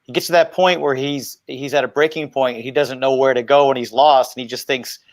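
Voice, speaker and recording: A man's voice: medium pitch, steady tone, a little fast, dry recording. A middle-aged man talking reflectively as a panelist on a video call, wearing wired earphones. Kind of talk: reflective conversational talk. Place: dining room at home, video call.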